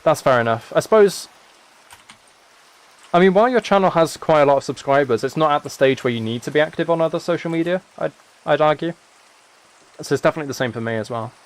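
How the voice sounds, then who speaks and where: A young British man chatting casually as he streams a game, rambling and thinking out loud as he goes. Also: on the high side, lively intonation, quick pace, long pauses. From United Kingdom. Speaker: live streamer.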